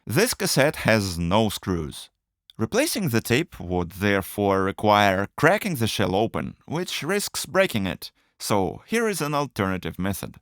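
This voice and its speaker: A man's voice: fairly low pitch, a bit bright, no echo, clean studio sound. A man narrating a scripted do-it-yourself tutorial, explaining each step methodically. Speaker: video host explaining a DIY procedure.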